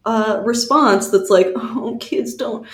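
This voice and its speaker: A woman's voice: a little high, slow pace. A woman chatting casually as a podcast co-host, her voice recorded with a roomy echo. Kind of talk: casual chat. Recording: roomy, echoing sound.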